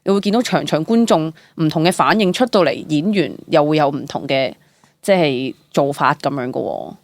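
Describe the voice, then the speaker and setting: A young woman with a low voice and a Hong Kong Cantonese accent, recorded close and dry. She talks casually as an actress being interviewed, colloquial and full of exclamations. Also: animated, normal speed, creaky, dry recording. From Hong Kong, Southern District. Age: young adult. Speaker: actress, podcast guest.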